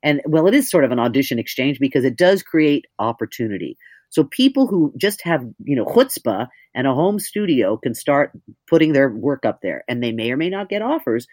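A woman with a deep voice, an audiobook narrator talking candidly in an interview, thoughtful and self-mocking. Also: big pitch swings, brisk, a little mellow, no room sound.

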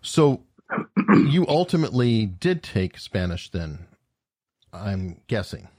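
A man's voice: fairly low pitch, very animated, slow pace, darkish tone, no echo. A man from Arizona hosting an interview, asking questions hesitantly with restarts and light laughs. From Tucson, Arizona, USA. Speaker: podcast host.

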